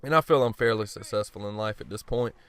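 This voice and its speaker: A man giving casual gameplay commentary, blunt and profane, rambling and joking at himself, often clearing his throat. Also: fairly low pitch, fast, fairly bright tone. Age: adult. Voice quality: smooth voice. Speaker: gaming commentator.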